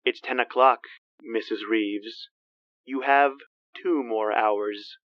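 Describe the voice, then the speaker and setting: Man voicing a slow, muffled, menacing caller. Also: medium pitch, fairly even intonation, dry recording, faint music behind, lightly acted. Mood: menacing, taunting. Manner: slow, taunting. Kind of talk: old-time radio horror acting. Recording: dull, muffled telephone-style sound.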